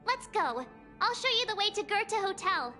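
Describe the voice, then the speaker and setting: A spirited young girl adventurer from a video game. Her voice is extremely high and bright, swinging animatedly as she talks in a curious, casual, girlish way. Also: normal speed, heavily acted. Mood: determined. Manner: expressive, informal, girlish. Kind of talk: scripted video game character dialogue. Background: background music.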